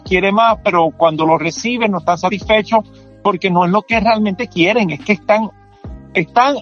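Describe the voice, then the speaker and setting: A man's voice: high-pitched, a bit bright, muffled recording. A man with a Puerto Rican accent whose first language is English, explaining his book at length in a casual interview and checking in with "you know?". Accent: Puerto Rican Spanish. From Puerto Rico. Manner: explains at length with many restarts and tags like "¿me entiendes?". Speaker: author and performance coach.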